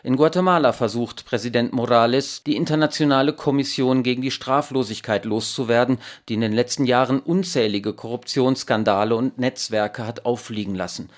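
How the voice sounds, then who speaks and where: A male radio reporter narrates a scripted news feature. His voice sits at a medium pitch, and he reads in a measured, emphatic manner, as in a prepared report.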